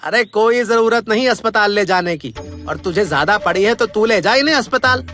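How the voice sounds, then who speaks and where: A callous grown son from a cartoon moral story, acted by a man. The male voice is pitched high and comes out irritable and dismissive. Music plays clearly behind it. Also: a little fast, lightly acted. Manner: dismissive, scolding.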